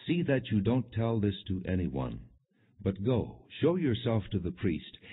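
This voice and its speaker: A man reading scripture as an audiobook narrator, in a solemn, measured storytelling delivery with expressive quoted speech. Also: sits low, breathy edge. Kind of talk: solemn scripted Bible reading, non-dramatized. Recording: muffled, phone-like narrow band.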